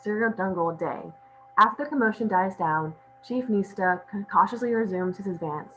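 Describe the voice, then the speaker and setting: A woman's voice: medium pitch, normal speed, a bit bright, faint music behind, low-quality recording. A woman reading stage directions as scripted narration at a table read.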